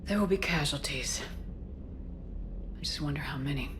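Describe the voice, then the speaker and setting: A woman's voice: deep voice, moderate pace, noisy background, close to an everyday voice. A woman playing a resolute, weary military commander in a video game, terse in her delivery. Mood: weary, pensive.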